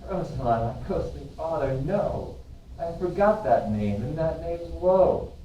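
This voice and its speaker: A man's voice: fairly high pitch, lively intonation, a little slow, warm tone. A man playing a young, earnest, lovestruck nobleman in an amateur Shakespeare reading. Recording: very echoey, distant, dull, faint noise.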